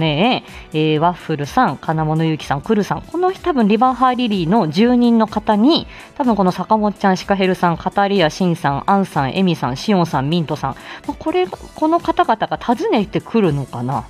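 Lively, chatty woman with swooping intonation. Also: fairly low pitch, normal speed, soft background music. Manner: chatty, hesitant, thinking aloud, often chuckling. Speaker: host of a morning audio show. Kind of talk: casual solo chat to listeners.

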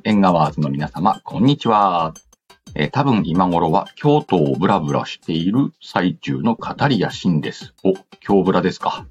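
A man's voice: deep voice, muffled recording. A man hosting a casual radio-style show, reading out letters and announcements with playful asides. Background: background music.

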